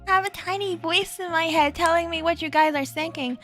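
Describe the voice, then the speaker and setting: A woman's voice: very high-pitched, a little fast, pushed and bright, faint music behind. A young woman streamer from Florida chatting casually with her viewers, bubbly and giggly, self-deprecating and easily distracted.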